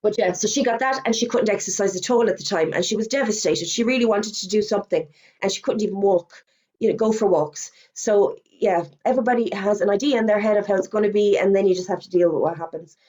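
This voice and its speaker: A middle-aged woman with an Irish accent, a fitness instructor giving a webinar presentation, chatty, with quick asides and self-corrections, her voice going hoarse as she talks. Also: medium pitch, a little mellow. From Ireland. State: losing her voice.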